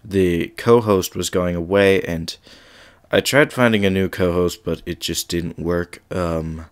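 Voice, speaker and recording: A man's voice: low voice, steady tone, unhurried, clean tone. A male podcast host with a slight cold, chatting casually with frequent ums and then reading a scary story aloud.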